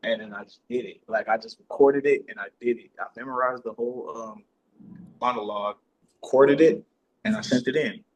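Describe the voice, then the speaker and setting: A man's voice: medium pitch, fairly quick, a little hiss or hum, poor audio. A man talking casually as an actor being interviewed, with slangy, sweary, bro-heavy phrasing. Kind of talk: casual chat.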